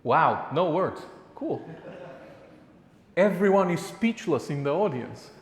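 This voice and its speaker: Man, Romanian accent, conference speaker, self-mocking and chatty, slow talker, echoey room. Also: on the high side, expressive. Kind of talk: live tech conference talk. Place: conference hall before a live audience. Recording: echoey hall with a long reverb.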